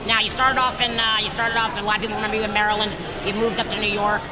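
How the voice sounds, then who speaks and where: A man interviewing a jockey outdoors at a racetrack, asking quick, friendly questions with fillers. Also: high-pitched, level tone. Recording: loud outdoor traffic noise.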